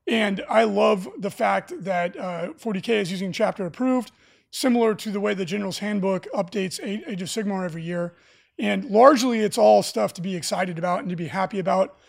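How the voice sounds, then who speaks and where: A raspy-voiced man hosting a casual live stream for a game store, talking enthusiastically with lots of fillers. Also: sits high, fairly quick. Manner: enthusiastic, rambling with many fillers. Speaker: livestream host for a game store.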